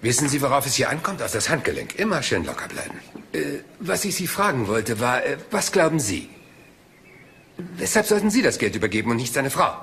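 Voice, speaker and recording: Rumpled, deceptively casual police inspector in a radio mystery; courteous, meandering, lively swooping intonation. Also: male voice, medium pitch, brisk, vocal fry, slight background noise. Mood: casual, polite. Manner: rambling, hesitant, polite, deceptively casual questioning. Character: rumpled, absent-minded, polite but shrewd male police detective. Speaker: police inspector in a crime drama. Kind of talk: German crime radio drama acting.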